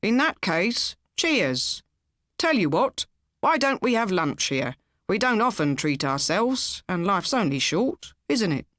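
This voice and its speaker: A middle-aged husband in an audiobook tale, played by a woman reader. He is kindly and a bit of a bore, fussing gently and doubting mildly, heard in a dry, close recording. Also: mid-range voice, big pitch swings, slow pace, no echo. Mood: cheery.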